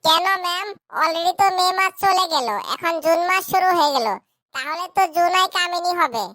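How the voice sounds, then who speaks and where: Squeaky, bright, chubby cartoon schoolboy. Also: female voice, moderate pace, no room sound, clean studio sound. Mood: earnest. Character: chubby dim schoolboy with confident silly ideas. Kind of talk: cartoon comedy dialogue.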